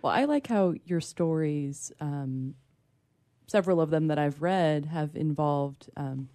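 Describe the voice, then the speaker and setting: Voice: medium pitch, a little slow, dark tone, dry recording. A guest on a radio show, chatting casually in a studio.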